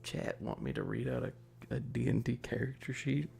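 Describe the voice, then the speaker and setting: A man chatting casually and softly on an ASMR livestream, rambling with hesitant pauses and often slipping into breathy, whispery speech. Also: mid-range voice, huge pitch swings, soft background music. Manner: self-deprecating, nerdy. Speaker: ASMR streamer.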